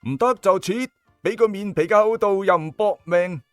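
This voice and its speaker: A man's voice: high voice, normal speed, no echo, faint music behind, lightly acted. A man playing a pushy, thick-skinned small-time gangster in an audiobook, brash and needling, with a Cantonese accent. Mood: coaxing. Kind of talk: audiobook character acting.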